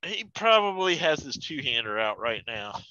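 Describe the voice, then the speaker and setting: Bright, clear male voice, dull lo-fi audio. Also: fairly high pitch, very animated, normal speed, no echo. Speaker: tabletop roleplaying player. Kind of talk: casual table chat.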